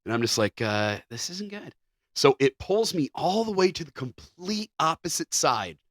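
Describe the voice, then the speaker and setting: A man's voice: a little high, sing-song, normal speed, no echo, clean studio sound. A man co-hosting a podcast, telling a story casually with restarts and casual swearing. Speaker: podcast co-host.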